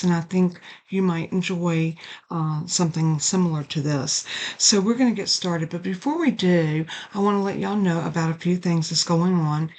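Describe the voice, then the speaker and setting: An older woman, raspy and hoarse from a sinus infection, hosting a casual card-making tutorial at her craft desk. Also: fairly low pitch, a little mellow. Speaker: card-making channel host.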